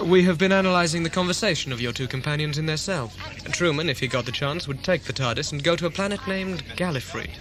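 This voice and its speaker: A man's voice: fairly high pitch, quick pace, few pauses, fairly bright tone, close to an everyday voice. A man playing a stern, calculating corporate starship commander in an audio drama, formal, clipped and authoritative. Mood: commanding, calculating.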